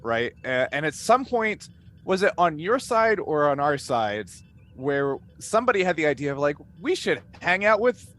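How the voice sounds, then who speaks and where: A man's voice: a little high, sing-song, normal speed, fairly bright tone. A man chatting casually with friends, adding conversational asides and checking details. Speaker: podcast cast member. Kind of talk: casual chat.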